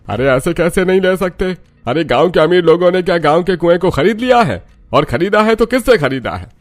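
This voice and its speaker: A man's voice: sits high, sing-song, fairly quick. A man voicing hearty, plain-spoken older village men in a cartoon story, with emphatic delivery. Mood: indignant. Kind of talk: Hindi cartoon story dialogue.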